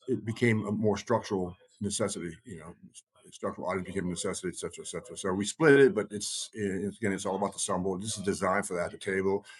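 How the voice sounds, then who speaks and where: A man co-hosting a podcast, chatting casually in a rambling, halting way, often circling back on his words. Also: on the low side. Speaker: podcast co-host, heathen group leader.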